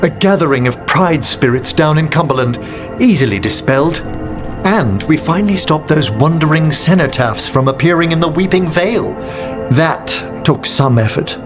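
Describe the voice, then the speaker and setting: An actor playing a genteel, middle-aged necromancer in a fantasy video game, with formal, eloquent and measured diction. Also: male voice, fairly high pitch, expressive, close to an everyday voice. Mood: genial, conversational. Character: genteel, learned necromancer man, courtly and wordy. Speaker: companion character in a fantasy game. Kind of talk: dramatic video game dialogue. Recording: music behind the voice.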